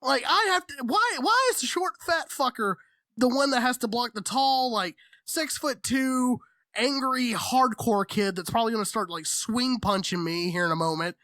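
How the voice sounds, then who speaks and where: A man in his thirties telling stories in a casual, animated, profanity-laced way as a podcast co-host. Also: extremely high pitch, moderate pace, no echo. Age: 30s. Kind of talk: casual storytelling chat.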